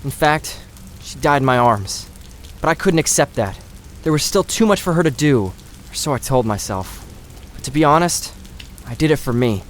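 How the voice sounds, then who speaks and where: A man's voice: medium pitch, lively intonation, moderate pace, a little hiss or hum, natural voice. A man playing a blunt, sincere and devoted fantasy hero in an audio drama. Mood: confessional. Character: blunt, devoted, self-blaming fantasy hero in human form. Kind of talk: audio drama character acting.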